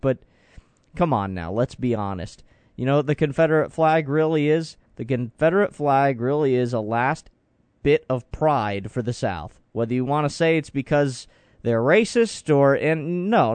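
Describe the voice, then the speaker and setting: A man's voice: medium pitch, no room sound. A man hosting a morning call-in radio show, talking in an earnest, opinionated way with frequent restarts. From Florida. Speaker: radio talk show host. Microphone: studio microphone.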